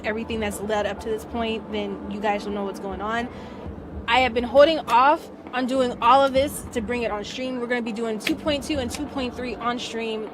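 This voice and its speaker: A woman live streamer chatting casually and slangily to her viewers. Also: a little high.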